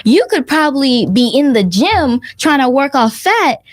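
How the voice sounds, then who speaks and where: A woman's voice: high voice, big pitch swings, unhurried, no room sound, studio quality. A woman in her thirties, a guest speaking in casual interview chat, who stresses her points by repeating them. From United States. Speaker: guest, herbal detox business owner. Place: radio or podcast studio.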